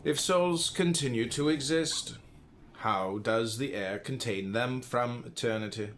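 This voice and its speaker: A man's voice: medium pitch, a little slow, fairly bright tone, slight background noise. An American man reading classical philosophy aloud as an audiobook, in a solemn, emphatic, declamatory manner. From United States. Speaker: audiobook reader.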